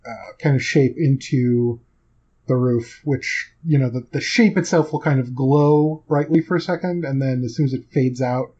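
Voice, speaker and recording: Male game master, soft-edged husky voice, loose improvised narration, dull lo-fi sound. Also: medium pitch, normal speed. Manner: conversational, hedging with kind of.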